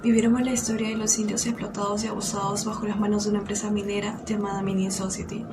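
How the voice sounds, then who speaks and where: A woman's voice: medium pitch, little pitch movement, fast. A woman narrator reading a scripted introduction on a noisy amateur recording.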